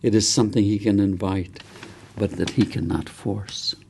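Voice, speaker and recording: A man reading his own novel aloud as an audiobook, in a measured, gentle storytelling delivery. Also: fairly low pitch, fairly even intonation, gravelly, slight background noise.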